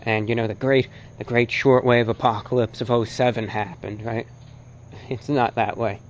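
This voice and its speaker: A man presenting an informal, opinionated lecture as a broadcaster, speaking emphatically and deliberately with rhetorical repetition. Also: mid-range voice, steady tone, lo-fi sound, a little hiss or hum. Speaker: host and presenter, radio broadcaster.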